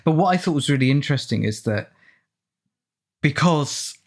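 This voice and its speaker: A man's voice: on the high side, lively intonation, moderate pace. Thoughtful man in casual chat. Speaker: author and film commentary speaker. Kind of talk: casual chat, film commentary.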